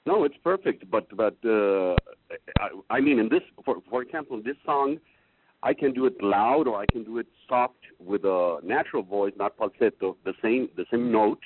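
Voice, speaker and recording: A baritone man speaks as a student in a singing lesson, low and hesitant, often restarting his words. The sound is thin and phone-like, with a faint hiss. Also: lively intonation, moderate pace. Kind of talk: casual chat in a lesson. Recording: tinny call line, faint hiss.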